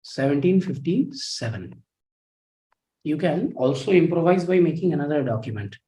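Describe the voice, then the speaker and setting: A man with an Indian English accent teaches history in a live online class, speaking in a soft, low-volume voice. His pitch sits a little high for a man, and he talks in a measured, instructive way. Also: expressive, rough recording. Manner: measured, instructive, reads out student comments.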